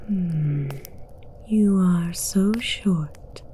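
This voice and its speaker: A woman voicing an imperious, amused dragon queen in a breathy, teasing whisper for an ASMR roleplay, speaking in a regal, formal way. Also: on the low side, drawn-out words, mellow, slight background noise. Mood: admiring, teasing.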